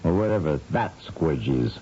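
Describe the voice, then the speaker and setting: An actor playing a wry, bantering, self-mocking husband in a 1970s radio drama. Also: male voice, a bass voice, sing-song, normal speed, mellow, exaggerated voice. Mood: wry, teasing. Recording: noisy, dull vintage radio broadcast.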